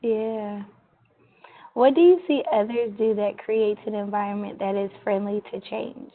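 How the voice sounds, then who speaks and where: A woman's voice: mid-range voice, fairly even intonation, a little slow. A woman conducting a casual research interview by phone, informal and agreeable as she listens and asks questions. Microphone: phone line. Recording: tinny narrow-band phone line.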